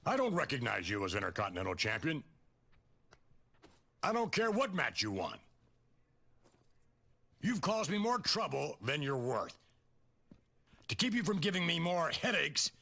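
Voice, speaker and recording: A man playing an imperious, impatient wrestling company boss in a video game, domineering and stern, with a gravelly voice. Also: fairly high pitch, very animated, moderate pace, long pauses, full character voice. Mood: stern, irritated. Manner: forceful, domineering. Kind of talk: video game cutscene acting.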